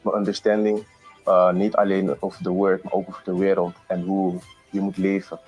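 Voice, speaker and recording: A young man of eighteen speaks hesitantly, pausing to find his words. His voice is very deep and flat, and faint music plays underneath. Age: young adult. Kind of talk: testimonial interview.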